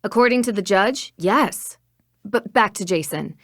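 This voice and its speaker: A woman's voice: mid-range voice, no room sound, clean studio sound. A young woman with an American accent playing an earnest, persistent amateur detective in a video game. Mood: earnest, pondering. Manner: polite, measured questioning.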